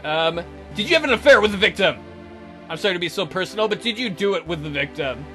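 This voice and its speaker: A woman's voice: on the low side, lively intonation, quick pace, a bit bright, soft background music. A woman playing a weary, blunt detective questioning suspects in a visual novel. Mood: deadpan, businesslike.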